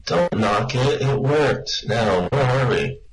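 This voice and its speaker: A man's voice: mid-range voice, lively intonation, takes it slowly, poor audio. A man playing a breezy, self-satisfied alien traveller in a comic radio play, wry and nonchalant. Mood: pleased. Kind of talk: comic science fiction radio play acting.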